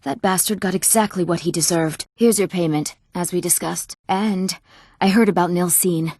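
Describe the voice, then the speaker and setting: A woman's voice: lowish voice, fairly even intonation, moderate pace, darkish tone, no echo, studio quality. A woman voicing an emotive, dramatic young woman in a fantasy video game. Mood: grateful. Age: young adult. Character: eager, wronged young woman of a fantasy world. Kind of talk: video game character voice lines.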